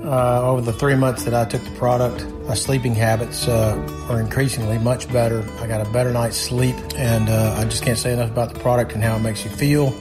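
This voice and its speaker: A man giving a halting, hesitant testimonial in a radio ad. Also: medium pitch, flat delivery, music in the background. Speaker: ad testimonial speaker.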